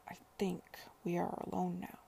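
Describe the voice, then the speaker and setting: A woman voicing a shy, tender young heroine in a period romance audiobook, quiet and breathy. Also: mid-range voice, normal speed, slight background noise.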